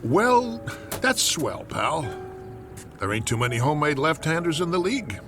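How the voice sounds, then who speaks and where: An actor playing a gruff, shrewd baseball manager with an American accent and a sarcastic, slangy, blustery delivery in a radio drama. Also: male voice, fairly high pitch, big pitch swings, normal speed. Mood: sarcastic, doubtful. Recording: music behind the voice.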